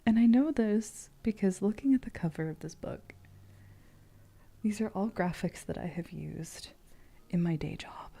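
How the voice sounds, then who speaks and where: A woman chatting casually in an ASMR style, with a soft, breathy, often whispered voice and a halting, meandering manner. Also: medium pitch. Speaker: streamer host.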